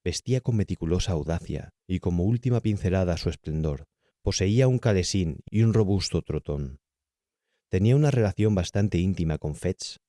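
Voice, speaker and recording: A man with a Castilian Spanish accent narrating a horror audiobook in a measured, carefully enunciated way. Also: low voice, animated, warm tone, no room sound, clean studio sound. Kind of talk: scripted horror story narration.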